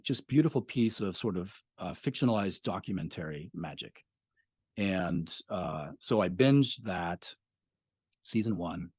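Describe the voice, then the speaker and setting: A man's voice: low voice, darkish tone, slightly rough, dry recording, studio quality. A man in his fifties, a podcast creator talking as a guest in a casual interview, rambling with many fillers.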